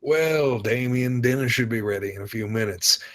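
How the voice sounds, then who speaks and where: A man's voice: mid-range voice, moderate pace, fairly bright tone, lightly acted. A man playing an easygoing, hospitable father in his fifties in a screenplay table read. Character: easygoing, hospitable father aged fifty-five, Jack.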